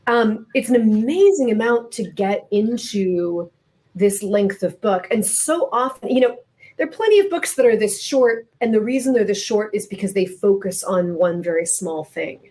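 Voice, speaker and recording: A woman's voice: mid-range voice, lively intonation, normal speed, darkish tone. A woman moderating a literary interview, conversational and a little wry, building long questions piece by piece.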